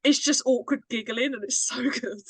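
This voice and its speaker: A woman chats informally in a fairly high voice. Her manner is teasing and giggly. The recording is dry, with no room sound. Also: moderate pace, husky, no echo. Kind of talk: casual chat.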